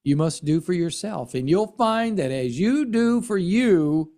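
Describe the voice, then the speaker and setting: A middle-aged man with an American accent, delivering an impassioned commentary monologue in an earnest, emphatic way with dramatic pauses and repetition. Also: high-pitched, animated, dark tone. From United States. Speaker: political commentary host and military veteran.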